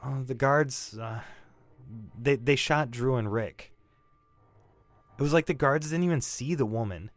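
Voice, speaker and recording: An actor playing a shaken young male robbery suspect in an audio drama, defensive and grieving, halting and stammering as he talks. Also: medium pitch, dry recording, lightly acted. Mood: shaken recounting. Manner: halting, stammering, repeating himself. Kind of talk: naturalistic audio drama acting.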